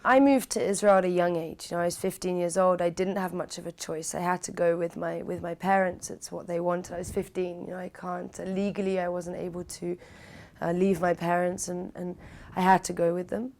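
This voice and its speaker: A woman with a low, flat voice answers an interviewer calmly and with measured pauses. She hesitates now and then, with small fillers.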